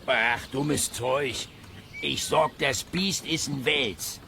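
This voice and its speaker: Slow, gravelly know-it-all angler. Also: male voice, medium pitch, background noise. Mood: dismissive, sure. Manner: blunt, colloquial, dismissive. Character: fat, grinning, know-it-all male angler, blunt and jovial. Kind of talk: children's radio play acting.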